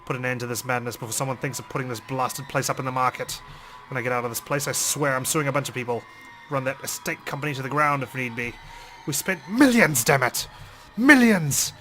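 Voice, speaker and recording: A man's voice: mid-range voice, brisk, hardly any pauses, pushed and bright, faint music behind. A man reading visual novel text aloud as dramatic first-person narration. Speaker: let's play host reading game text aloud.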